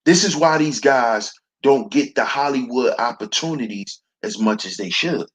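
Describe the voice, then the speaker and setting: A man with a baritone voice hosting a slow, preachy commentary monologue, calling his listeners brothers. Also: animated, slightly rough. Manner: preachy, addresses listeners as brothers, repeats "see". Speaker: commentary channel host.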